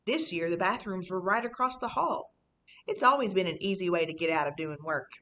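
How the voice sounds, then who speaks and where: A woman's voice: on the low side, expressive, brisk, sharp tone, slight background noise. Woman reading as a cocky, mischievous fifth-grade boy. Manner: casual, boastful, conspiratorial storytelling. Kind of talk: first-person children's audiobook reading.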